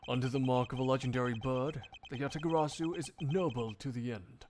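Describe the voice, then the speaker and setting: A man voicing a composed, erudite prosecutor in a video game fan dub, speaking in formal, measured, dramatic phrases. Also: medium pitch, steady tone, a little mellow, slight background noise, natural voice. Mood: grave, expository.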